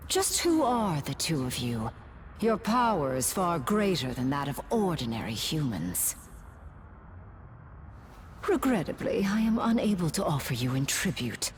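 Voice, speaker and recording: A woman's voice: fairly low pitch, slight background noise. A woman playing a cruel, grandiose demon priestess in a video game, with formal, commanding, theatrical speech. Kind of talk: dramatic video game voice acting.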